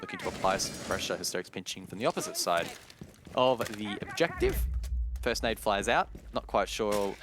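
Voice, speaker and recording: Guy with a lowish voice casting a shooter match, analytical and wordy, game gunfire in the back. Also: big pitch swings, moderate pace, noisy background. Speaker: esports commentator. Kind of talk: live play-by-play game commentary. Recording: gunfire and clicks behind the voice. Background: video game gunfire.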